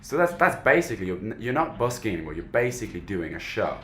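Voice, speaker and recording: A man's voice: on the low side, big pitch swings, fast, a bit bright, echoey room, soft background music. A man in his twenties from Germany, a working musician talking reflectively in a documentary interview. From Germany, moved to England at fifteen. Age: 20s. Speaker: busker, professional musician.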